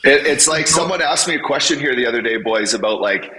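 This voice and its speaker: A man co-hosting a podcast, a former hockey player, talking with energetic, playful hype. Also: medium pitch, fast, slightly rough, rough recording. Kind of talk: casual podcast chat.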